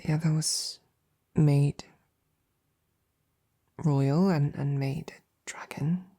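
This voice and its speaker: Breathy, hesitant lady knight, acted by a woman. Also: low voice, fairly even intonation, full character voice. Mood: curious, hesitant. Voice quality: breathy, soft. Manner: gentle, hesitant, halting, formal wording. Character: devoted female royal knight, tender, courteous, easily flustered. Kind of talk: intimate scripted romantic audio roleplay.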